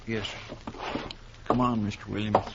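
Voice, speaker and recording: A man playing an eager, deferential, soft-hearted deputy in a radio western, with a folksy rural American accent. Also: fairly low pitch, flat delivery, unhurried, creaky. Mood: concerned. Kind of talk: old-time radio western acting. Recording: dull lo-fi recording with background noise.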